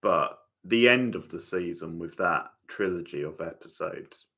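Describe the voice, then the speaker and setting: Low-pitched man, gravelly casual stream host. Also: a little slow, pushed and bright. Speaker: livestream host. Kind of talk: casual watchalong commentary, chatting with viewers.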